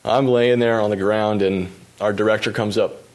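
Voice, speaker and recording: A young man from the United States recounts his cycling races in an easygoing talk, his voice sitting a little low. He fills the pauses with ums and uhs and chuckles at his own misfortunes. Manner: relaxed, lots of fillers, laughs at himself. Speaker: pro cyclist giving a talk. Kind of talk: storytelling presentation with slides.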